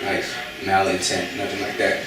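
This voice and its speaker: A man's voice: low-pitched, monotone, moderate pace, over music. A young American man talking casually in an interview, hesitant, with many fillers and restarts. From United States. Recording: echoey room, voice from far off.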